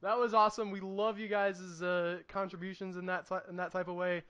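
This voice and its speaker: A man's voice: high voice, little pitch movement, fairly quick, fairly bright tone, dry recording, muffled recording. A man in his late twenties chatting casually as a podcast co-host, with a joking, self-deprecating manner. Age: late 20s.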